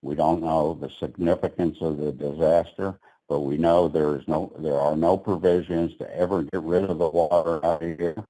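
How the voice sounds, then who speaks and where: A man's voice: a bass voice, steady tone, normal speed, mellow, low-quality recording. A man speaking at a public meeting, blunt and sarcastic about the agency's process. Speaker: petitioner at regulatory meeting.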